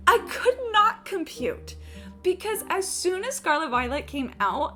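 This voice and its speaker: A woman's voice: high-pitched, sharp tone. A woman chatting casually as a live streamer, opinionated, emphatic and free with swearing. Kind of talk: casual chat while gaming. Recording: game music in the background. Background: video game music.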